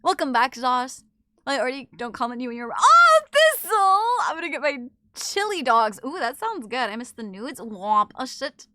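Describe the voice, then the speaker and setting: A woman's voice: sits high, sing-song, moderate pace, bright, forceful tone, no echo, clean studio sound. A young woman streaming, chatting casually with viewers, teasing and swearing freely. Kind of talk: casual live-stream chat reading viewer comments.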